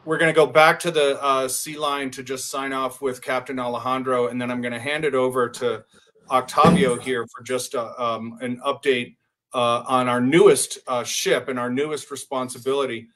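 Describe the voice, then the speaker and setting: A man in his sixties hosting a live online presentation, measured, with hesitant pauses. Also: medium pitch, little pitch movement, a bit bright. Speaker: live show host. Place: hotel room. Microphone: webcam on a video call.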